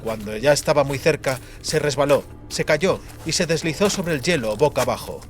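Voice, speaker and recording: A man's voice: medium pitch, lively intonation, normal speed, faint music behind. A man narrating a fantasy audiobook in a dramatic, scripted style.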